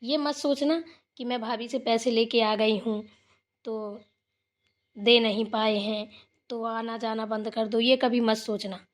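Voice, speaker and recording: A woman's voice: on the high side, steady tone, unhurried, lightly acted. A woman playing a kind, generous elder sister-in-law in a village family cartoon, talking in an earnest, reassuring, homely way. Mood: gentle, insistent. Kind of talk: Hindi family moral cartoon dialogue.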